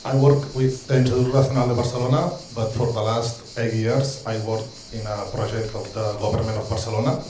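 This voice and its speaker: A man's voice: mid-range voice, level tone, fast, hardly any pauses, echoey room, noisy background. A man from Catalonia speaking English with a Catalan-Spanish accent, giving a hesitant, earnest talk as a street social educator from notes. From Catalonia, Spain. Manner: hesitant, many ums and restarts, warm asides.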